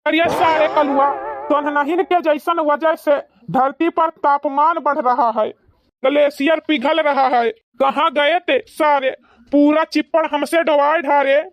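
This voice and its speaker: A woman playing an excitable, boastful old village uncle in a comic cartoon, with an Awadhi accent and theatrical grumbling. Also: sits high, a little slow, no room sound. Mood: indignant grumbling.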